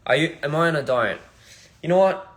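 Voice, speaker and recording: A young man from Australia, a musician chatting casually on a phone livestream in a halting, rambling, soft-spoken way. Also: fairly high pitch, animated. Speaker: musician streaming live. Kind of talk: casual live-stream chat.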